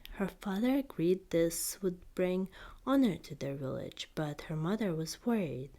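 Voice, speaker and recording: A woman reading a children's storybook in ASMR style, soft-spoken and deliberate, often slipping into a whisper. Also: lowish voice, lively intonation. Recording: clear background noise.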